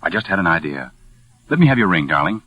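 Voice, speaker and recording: An actor in a 1940s radio drama playing a charming, confident, playful young fiancé. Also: male voice, low voice, sing-song, fast talker, no echo. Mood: playful, eager. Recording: muffled vintage 1940s radio recording.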